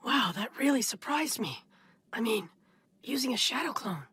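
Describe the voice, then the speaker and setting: A woman voicing an excitable, earnest young boy ninja in an anime dub. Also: a little high, moderate pace, very noisy, lightly acted. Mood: friendly surprise. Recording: music behind the voice.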